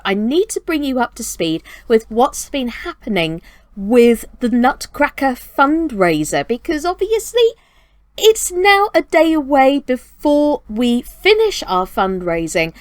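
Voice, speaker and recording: A woman's voice: high-pitched, dry recording. A woman hosting a children's storytime channel, giving a chatty, earnest update straight to her viewers. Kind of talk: chatty scripted update to camera.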